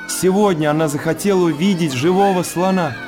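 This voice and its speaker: A man's voice: a little high, fairly quick, natural voice. An actor playing a devoted, anxious father in a radio play, speaking earnestly and tenderly. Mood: pleading. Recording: music under the voice.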